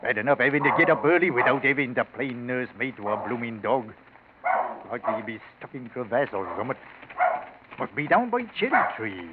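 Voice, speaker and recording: An actor playing an old, grumbling yet deferential Yorkshire servant in a 1940s radio drama, in hesitant dialect. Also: male voice, medium pitch, very animated, brisk, lightly acted. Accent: Yorkshire English. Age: older. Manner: dialect speech, hesitant stammering. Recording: tinny, noisy 1940s radio recording.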